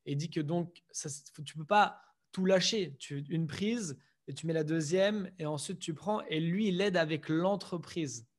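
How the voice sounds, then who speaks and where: A man's voice: a little high, moderate pace, hardly any pauses. A man hosting a podcast who chats casually, with many filler words and agreeing noises. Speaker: podcast host.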